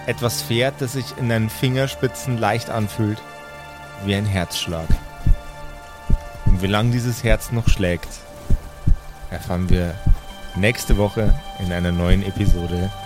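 A man narrating a tabletop game as game master, in an improvised storytelling style. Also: on the low side.

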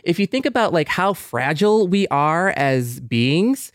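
A man's voice: a little high, big pitch swings, unhurried, no echo. Man thinking aloud, dry close sound. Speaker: podcast co-host. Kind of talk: casual reflective discussion.

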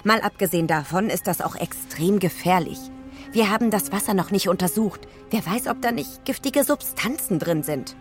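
A woman's voice: mid-range voice, animated, moderate pace, soft background music, lightly acted. An actress playing a worried woman astronaut scientist in an audio drama, voicing anxious, protective objections. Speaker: scientist crew member.

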